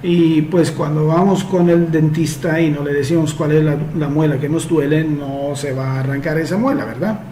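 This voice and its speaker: A man's voice: a little high, fairly even intonation, moderate pace, hardly any pauses, mellow, slight background noise. Man explaining in an echoey room. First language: Italian. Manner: explanatory, uses homely comparisons. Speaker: host answering listener questions. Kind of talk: advice talk reading a letter and answering.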